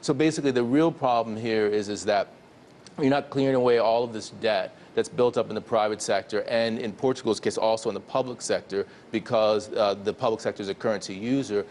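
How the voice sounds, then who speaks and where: A man's voice: fairly low pitch, brisk, a little mellow, slight background noise. A man giving economic analysis as a regular TV guest, hesitant with lots of fillers, walking through figures step by step. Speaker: economics commentator. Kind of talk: TV interview analysis.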